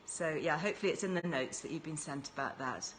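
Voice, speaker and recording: A woman's voice: sits low, fast, a bit bright, a little hiss or hum, low-quality recording. A woman hosting a casual live-stream chat in an upbeat, encouraging way. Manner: chatty, enthusiastic, encouraging. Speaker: host.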